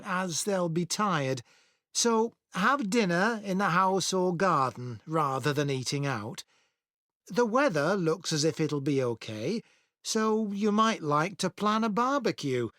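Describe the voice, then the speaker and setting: Slow, high-pitched, lively club chairman. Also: no echo, studio quality. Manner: clear, measured public address. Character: cordial male chairman of a town association addressing members. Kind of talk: scripted speech for a listening test.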